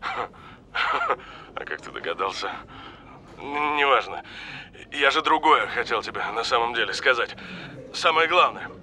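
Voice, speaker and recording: An actor playing an ailing, regretful rock musician father, halting and urgent, in an audio drama. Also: mid-range voice, expressive, pushed and bright, a little hiss or hum. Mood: nervous, earnest.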